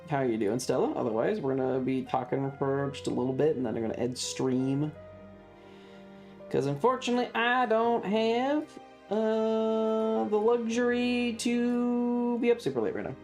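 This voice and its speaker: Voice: medium pitch, animated, moderate pace, darkish tone, soft background music. A streamer chatting casually while playing a video game, rambling along to themselves and the viewers. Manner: rambling, talks to self and chat. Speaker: streamer hosting a live stream.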